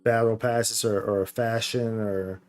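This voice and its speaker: A man's voice: lowish voice, flat delivery, a little slow, a little mellow, soft background music. A man chatting casually on a live gaming stream, slangy and playful, rambling and often repeating his phrases. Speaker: game streamer, host.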